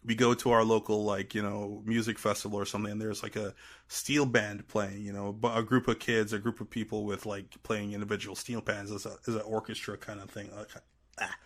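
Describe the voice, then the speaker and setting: Man, from Trinidad, fairly low pitch, casual podcast guest, playful, conversational. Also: flat delivery, fairly quick. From Trinidad and Tobago. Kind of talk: casual chat.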